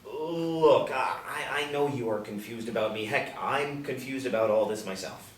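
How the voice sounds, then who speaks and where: A man's voice: mid-range voice, normal speed, natural voice. A man, apparently middle-aged, playing an awkward, apologetic nerdy man at a script reading, hesitant and stammering. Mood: earnest, confused. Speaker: actor at a screenplay table reading. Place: indoor room, seated. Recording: echoey room, distant mic, faint noise.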